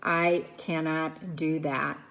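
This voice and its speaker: A woman voicing a calm, helpful female robot in a children's storybook, with even, deliberate, polite phrasing. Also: sits low, vocal fry, pitched below the performer's own voice, full character voice. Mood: calm, measured. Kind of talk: children's storybook read-aloud.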